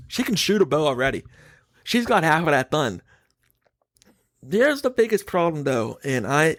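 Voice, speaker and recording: Higher-voiced man chatting on a podcast. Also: no echo, studio quality. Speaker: podcast host. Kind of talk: casual opinionated chat.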